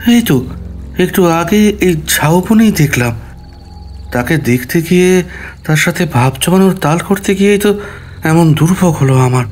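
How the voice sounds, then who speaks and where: A man's voice: a little high, animated, unhurried, long pauses, a little mellow, faint music behind. A man narrating a ghost story in the first person, dramatically, acting out his own dialogue.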